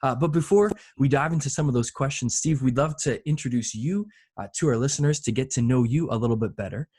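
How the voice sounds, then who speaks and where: A man's voice: medium pitch, quick pace, darkish tone, slightly rough. A man hosting a faith podcast, speaking in an upbeat, earnest way as he introduces the show and his guest. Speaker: podcast host.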